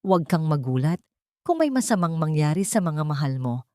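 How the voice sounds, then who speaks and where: A menacing, anonymous Filipino blackmailer acted by a woman storyteller taunts and threatens in an audio drama. The voice is fairly low, with lively pitch and a dry sound. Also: normal speed, no echo.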